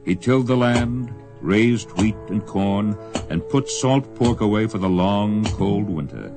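A solemn western storyteller on a radio drama. His voice is very low and gravelly, moving expressively through the tale over music. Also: animated, unhurried. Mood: solemn, measured. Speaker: narrator. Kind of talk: radio western scripted narration.

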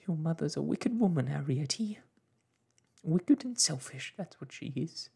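A storyteller voicing a fretful, guilt-ridden mother in a children's storybook, breathy and quavering, with a folksy, lamenting manner. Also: mid-range voice, expressive, normal speed, darkish tone, pitched above the performer's own voice, full character voice. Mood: reproachful, wounded. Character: fretful, guilt-ridden mother of a tiny family, tearful scolder.

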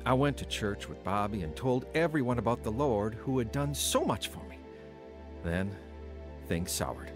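An actor in an audio drama playing an earnest, emotional, wavering ex-convict who has newly found religion. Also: male voice, medium pitch, natural voice. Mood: somber narration. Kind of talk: audio drama acting and first-person narration.

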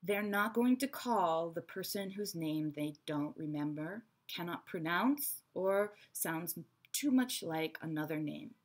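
Casting: woman, 30s, chatty teacher voice, lower pitch, unhurried delivery, webcam in a small room. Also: expressive. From Israel and America. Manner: chatty, frank, teacherly asides. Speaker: English teacher. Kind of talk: online English lesson to camera. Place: small bright room indoors. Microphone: webcam at desk.

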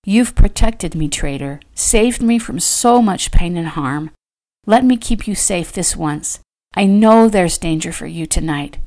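A woman voicing an anxious, devoted young woman in an audiobook, speaking in a breathy, earnest, hesitant way. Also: on the low side, lively intonation, measured pace, warm tone. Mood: pleading.

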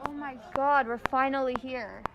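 Commanding female teacher in a zombie drama played by a teen girl, very high-pitched, very noisy recording. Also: fairly even intonation, moderate pace, vocal fry. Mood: matter-of-fact. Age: young teen. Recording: very noisy amateur recording with clicks.

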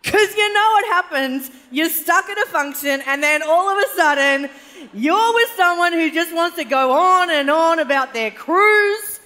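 Bright, lively Australian woman on stage. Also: very high voice, measured pace. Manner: animated, chatty, gesturing on stage. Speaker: keynote speaker. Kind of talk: live keynote speech to an audience. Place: large event stage with a live audience. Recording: reverberant event hall.

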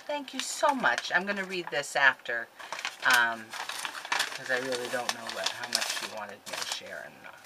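A woman's voice: very deep voice, lively intonation, normal speed, very noisy. A woman in her fifties chatting in a rambling, gushing way while showing craft supplies from her craft room, recorded on a desk webcam. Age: 50s. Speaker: scrapbooking vlogger. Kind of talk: casual chatty haul video. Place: craft room at home, indoors.

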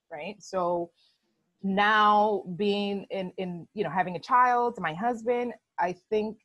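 A woman's voice: mid-range voice, fairly bright tone, dry recording. A woman in her thirties with a Dominican accent, talking casually and emphatically as a co-host on a video call, slipping into Spanish phrases. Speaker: podcast co-host.

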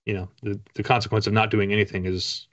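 A man in his forties with a fairly low voice talks casually in an interview. He rambles in a self-deprecating way as he explains things. The call audio is a little dull and lo-fi. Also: little pitch movement, fast, a bit bright. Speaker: guest author. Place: home room with bookshelves, video call.

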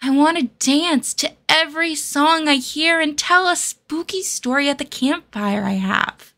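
Hopeful young adventurer, acted by a woman, high bright voice, earnest and dreamy, fantasy game. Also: measured pace, full character voice. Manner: earnest, wistful monologue. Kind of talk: character demo for fantasy tabletop game.

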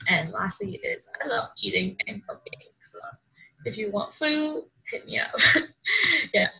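A woman's voice: mid-range voice, animated, a little slow, pushed and bright, slightly rough, rough recording. A young woman from Hong Kong introducing herself over a video call, chatty and upbeat, with many likes and ums. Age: young adult. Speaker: exchange student introducing herself.

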